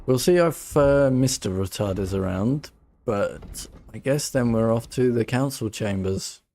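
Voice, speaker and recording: Slow, mellow man commenting on gameplay. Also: mid-range voice, expressive. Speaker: video game streamer. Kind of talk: casual playthrough commentary.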